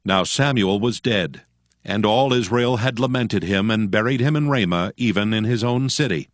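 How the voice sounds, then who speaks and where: A man's voice: lowish voice, normal speed, no echo, clean studio sound. A man narrating scripture from a script in a solemn, formal storytelling manner.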